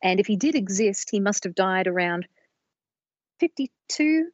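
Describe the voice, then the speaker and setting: A woman co-hosting a podcast, explaining research in a measured storytelling way on a slightly dull, lo-fi recording. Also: mid-range voice, animated, no echo. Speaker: podcast co-host presenting research.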